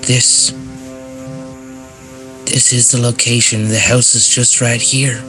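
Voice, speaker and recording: A local guide uneasy about a haunted place. His medium-pitched voice is casual and hesitant, slowing for eerie emphasis, with music underneath in an audio play. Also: little pitch movement, pushed and bright. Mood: intent. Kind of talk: naturalistic spooky audio play acting.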